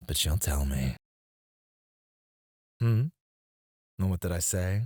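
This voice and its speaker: A man's voice: low-pitched, animated, mellow, dry recording, clean studio sound, full character voice. A man playing an obsessive, possessive CEO in an ASMR roleplay, speaking in a smug, menacing and condescending way. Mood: calmly menacing. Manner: smooth-talking, condescending, drawn-out deliberate phrasing.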